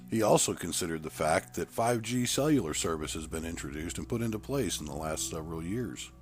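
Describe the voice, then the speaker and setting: A man with a very low voice narrates a written story in a steady, even reading tone. Soft background music runs faintly underneath. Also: fairly quick.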